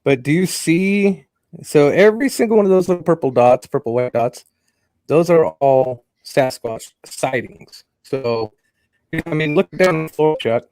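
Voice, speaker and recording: A man's voice: fairly high pitch, expressive, measured pace, darkish tone, no room sound, low-quality recording. A man co-hosting a casual podcast, talking easily through what he's showing on screen over a video call. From Idaho, US. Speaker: podcast co-host.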